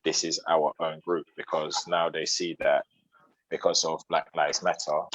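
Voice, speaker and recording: A man in a community discussion, laying out his view step by step in a measured, explanatory way over online call audio. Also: rumbling low, flat delivery, fairly bright tone, no echo. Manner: measured, explanatory, lays out points in sequence. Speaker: debate participant. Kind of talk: discussion argument. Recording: dull, dry lo-fi audio.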